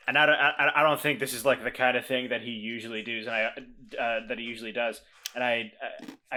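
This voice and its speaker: Guy playing a tabletop game, bright sharp voice, rambles a lot. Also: medium pitch. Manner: rambling, restarts often. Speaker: player. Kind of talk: casual tabletop play.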